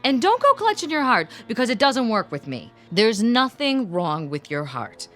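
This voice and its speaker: An actress playing a bossy, practical grown daughter in a radio drama, firm, emphatic and no-nonsense. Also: female voice, on the high side, sing-song, moderate pace, a bit bright, faint music behind. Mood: stern, insistent.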